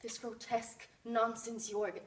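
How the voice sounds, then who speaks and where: A woman's voice: fairly high pitch, flat delivery, moderate pace, close to an everyday voice. Woman acting a haughty, cool young wife, echoey. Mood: exasperated, scornful. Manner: curt, controlled, disdainful. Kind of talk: stage drama acting. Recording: noisy, echoey room, distant microphone.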